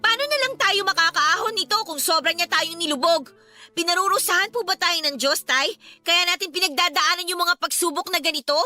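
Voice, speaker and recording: A woman's voice: very high-pitched, brisk, bright, forceful tone, soft background music, full character voice. A woman acting a poor, worried young daughter in a Filipino radio drama, pleading and insistent with an emotional delivery. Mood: frustrated, despairing. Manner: emotional, insistent, respectful to her father.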